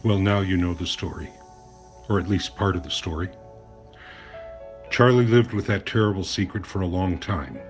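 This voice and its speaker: An actor playing an earnest, troubled journalist in an audio drama, speaking deliberately. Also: male voice, sits low, soft background music, lightly acted. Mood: grieving, resolute. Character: earnest, troubled male journalist, loyal friend. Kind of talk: audio drama acting and narration.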